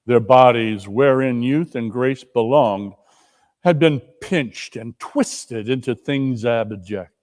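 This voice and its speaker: A man narrates as a dramatic reader. His mid-pitched voice moves slowly, setting out each phrase with measured emphasis. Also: darkish tone.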